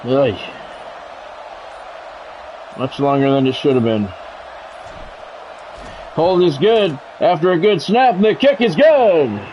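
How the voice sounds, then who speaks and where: Higher-pitched man calling football play-by-play. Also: takes it slowly, long pauses, dull audio, slight background noise. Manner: announcer-like, short clipped phrases, excited calls on big plays. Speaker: video game streamer doing play-by-play. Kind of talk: live sports play-by-play commentary of a football video game.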